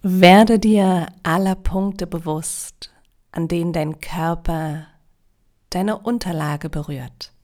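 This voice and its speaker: A woman guides a deep relaxation meditation. Her voice sits a little low and she speaks very slowly. She sounds calm and soothing, leaving long, measured pauses between phrases. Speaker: yoga nidra guide.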